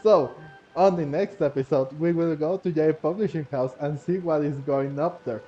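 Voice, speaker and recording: Guy doing casual gameplay commentary, muffled lo-fi mic, giggly n halting, mellow tone. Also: on the high side. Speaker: gaming video host. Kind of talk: casual game playthrough commentary. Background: faint game music.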